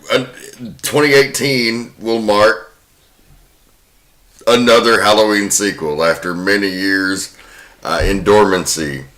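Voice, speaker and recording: A man hosting a podcast, giving measured, deliberate commentary that sounds partly prepared. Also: lowish voice, fairly bright tone. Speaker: podcast host. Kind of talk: semi-scripted podcast commentary.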